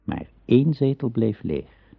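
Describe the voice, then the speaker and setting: Legend narrator, gravelly bass, measured, solemn, storybook radio drama, muffled old recording. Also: male voice, big pitch swings, no room sound, exaggerated voice.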